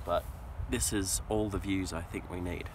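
A man's voice: sits low, fairly even intonation, normal speed. A man in his twenties chatting casually as a travel vlogger, recorded on a handheld camera or phone. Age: 20s. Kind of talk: casual vlog chat. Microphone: camera or phone at arm's length. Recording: noisy handheld camera audio.

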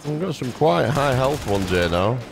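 A man giving casual let's play commentary, talking to himself with excitable exclamations and repeated words. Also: medium pitch, normal speed, gravelly, noisy background. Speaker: video game streamer. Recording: game music and gunfire behind. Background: game music and gunfire.

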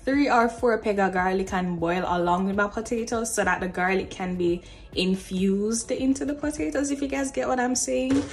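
A woman in her twenties with a Jamaican accent, chatting casually in informal, Creole-tinged phrasing as she films a cooking vlog in a home kitchen. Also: fairly high pitch. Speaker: cooking vlogger. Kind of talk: casual chat while cooking.

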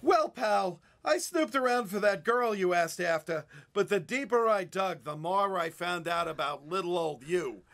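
An actor playing a shifty, resentful street informant in a 1940s noir radio drama, slangy, whiny and defensive. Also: male voice, sits high, a little slow, creaky, few pauses, fairly bright tone, exaggerated voice.

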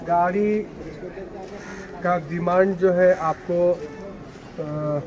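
A middle-aged man selling used cars at an outdoor lot, listing features and prices in a brisk, matter-of-fact way. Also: high-pitched, steady tone, muffled recording, a crowd in the background. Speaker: used car dealer. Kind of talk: sales pitch, quoting prices.

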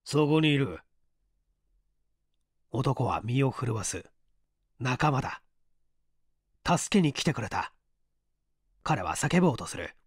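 A man reading mystery fiction as an audiobook narrator, deliberate and theatrical, with pauses. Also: mid-range voice, no echo, studio quality.

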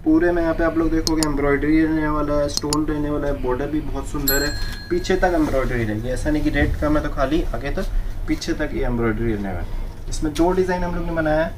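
A young man selling sarees wholesale in a shop, running a brisk sales pitch and repeating the prices in English. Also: background noise. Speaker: saree seller. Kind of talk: wholesale product showcase. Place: indoor saree shop floor.

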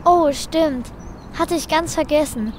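A woman's voice: high voice, unhurried, a little hiss or hum. A woman playing an easygoing, eager and naive young boy detective in an audio drama.

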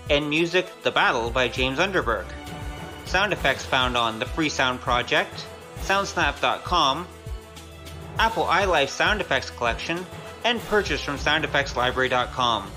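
A podcast narrator reading scripted end credits for an audio drama. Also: mid-range voice.

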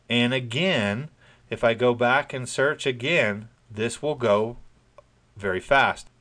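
A man giving an informal, chatty screen-recorded software tutorial, explaining each step plainly and downplaying his own expertise. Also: mid-range voice.

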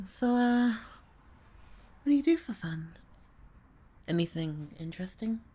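A woman acts out a young female babysitter in an ASMR audio roleplay. Her voice is husky and breathy at a medium pitch. She takes her time, hesitating and trailing off, lazy and easily flustered. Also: expressive, extremely slow, pauses often, dark tone, exaggerated voice. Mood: awkward, curious. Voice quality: husky, breathy, often dropping to a near-whisper. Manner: hesitant, muttering, sighing, trailing off. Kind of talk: ASMR audio roleplay, solo character acting.

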